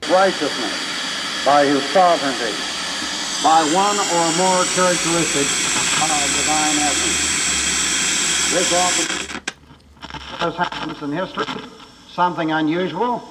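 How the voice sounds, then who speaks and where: A man preaching in an emphatic, deliberate lecture style, played back from an old cassette. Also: a little high. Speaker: preacher, Bible teacher. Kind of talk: sermon lecture. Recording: old cassette playback, long reverb, noisy hiss.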